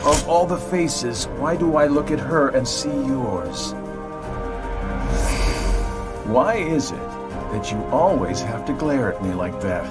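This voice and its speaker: An actor playing a sly, sardonic middle-aged schemer with a mocking manner in a video game. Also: male voice, mid-range voice, animated, unhurried, loud music behind the voice, lightly acted. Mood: taunting. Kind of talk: video game cutscene acting.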